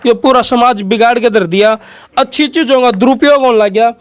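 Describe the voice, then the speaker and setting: A man's voice: extremely high pitch, a little fast, smooth. A man with a Haryanvi accent working as an interviewer, questioning his guest and explaining things to viewers in a conversational way. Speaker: interviewer and host.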